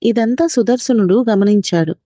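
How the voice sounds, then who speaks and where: A woman tells a children's moral story in a gentle, explanatory way, like a mother to her child. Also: medium pitch, normal speed, dry recording.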